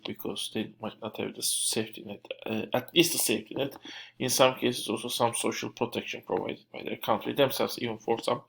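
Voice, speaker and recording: Gravelly Turkish man lecturing haltingly. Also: medium pitch, a bit bright, poor audio. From Turkey. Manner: halting, searching for words, frequent self-corrections. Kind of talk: unscripted lecture.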